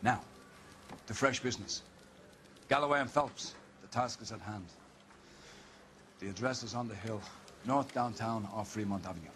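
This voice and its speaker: An actor playing a brusque, sardonic police captain giving orders in a video game cutscene. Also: male voice, lowish voice, moderate pace, pauses often, a bit bright, slight background noise, natural voice.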